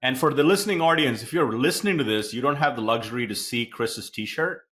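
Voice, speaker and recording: Bright-voiced chatty podcast host, a man. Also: medium pitch, a little fast. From India. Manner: chatty, rambling, many fillers. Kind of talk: podcast interview hosting.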